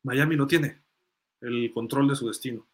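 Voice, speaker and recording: A man hosts a live sports fan show in a casual, conversational way. His voice sits at a medium pitch. He reasons things through aloud as he responds to comments from listeners. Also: steady tone, moderate pace.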